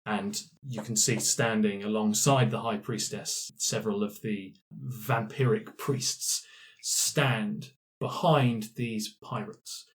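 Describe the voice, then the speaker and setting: A man's voice: lowish voice, steady tone, slow pace. A man narrating a tabletop roleplaying game as the game master.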